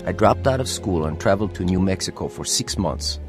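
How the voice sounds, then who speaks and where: A man's voice: sits low, animated, brisk, over music. An actor playing a troubled, hot-tempered young man in a radio drama, both in dialogue and telling his own story. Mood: confessional, reflective. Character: troubled hot-tempered teenage boy, also narrating his life as testimony. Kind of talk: radio drama acting and first-person narration.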